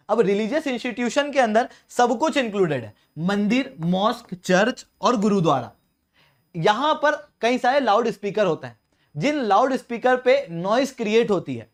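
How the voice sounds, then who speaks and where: An Indian teacher delivers an explanatory lecture at a mid-level pitch. The delivery is didactic, setting up questions and then answering them. English technical terms are mixed in throughout. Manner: mixes in many English terms. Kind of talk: explanatory exam-prep lecture.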